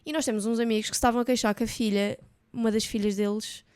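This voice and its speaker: A woman's voice: a little high, steady tone, quick pace, a little mellow, clean tone. A woman with a European Portuguese accent co-hosting a casual political chat, emphatic and indignant, with hesitant fillers. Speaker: podcast co-host.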